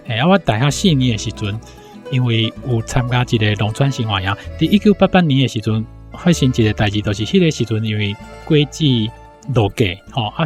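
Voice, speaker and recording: A man in his sixties speaks with a Taiwanese accent at a middling pitch. He tells personal recollections in an informal, unscripted way, dotting phrases with small tag words. Soft music sits behind him. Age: 60s. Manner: frequent tag particles as punctuation. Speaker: interview guest, university academic. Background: background music.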